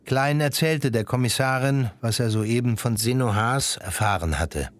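A man's voice: mid-range voice, normal speed. A man narrating a scripted story in literary prose for a radio drama.

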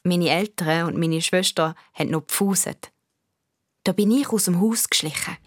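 A woman's voice: fairly low pitch, moderate pace, no echo, lightly acted. A female performer playing a thoughtful young girl who narrates her own story in a radio play, with a Swiss German accent. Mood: reflective.

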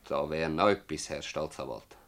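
A man's voice: rumbling low, normal speed. A man playing a troubled, remorseful, loyal villager in a Swiss German dialect radio play, speaking in an earnest, bitter way. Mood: earnest pleading.

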